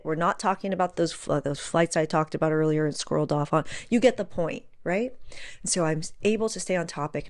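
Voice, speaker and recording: Woman podcaster, lowish voice, chatty solo advice talk, repeats stuff for emphasis, asks rhetorical questions. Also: a little mellow. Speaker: podcast host.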